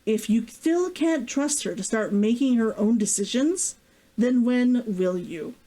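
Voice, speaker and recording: Woman voicing an exasperated male healer, animated and high. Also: a little slow. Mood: firm, annoyed. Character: exasperated, firm male town healer, losing his temper.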